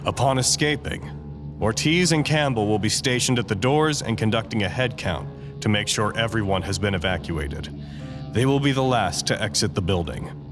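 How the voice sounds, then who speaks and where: An actor playing a grave, weary facility leader in an audio drama, speaking formally and deliberately. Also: male voice, fairly low pitch, expressive, in a large hall, music in the background, lightly acted. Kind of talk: scripted audio drama acting.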